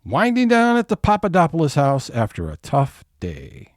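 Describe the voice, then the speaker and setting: A man's voice: a little high, wildly swooping pitch, moderate pace. A man narrating scene changes in a scripted comedic audio play.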